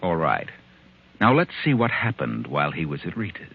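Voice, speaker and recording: Commanding radio mystery interrogator, deep and very expressive, narrow old broadcast. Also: male voice, fairly quick, vocal fry, noisy background, exaggerated voice. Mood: measured, probing. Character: commanding, probing man reconstructing a crime. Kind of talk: 1940s radio mystery narration. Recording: tinny 1940s radio broadcast with music.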